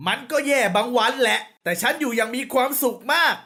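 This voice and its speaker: A woman's voice: on the high side, quick pace, fairly bright tone. A woman voicing a small, proud city mouse in a children's cartoon fable. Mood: self-assured.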